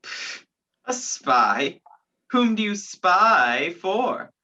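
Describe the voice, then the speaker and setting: A young woman playing a sceptical, gallant gentleman in an amateur radio play, with a theatrical, incredulous manner. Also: fairly low pitch, sing-song, very slow, exaggerated voice. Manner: incredulous, theatrical, old-fashioned phrasing. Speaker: student actor in a school radio play. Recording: dull lo-fi home recording.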